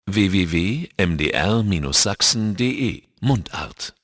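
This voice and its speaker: A man's voice: low voice, expressive, measured pace, a bit bright. A man reading a scripted radio promo as a station announcer.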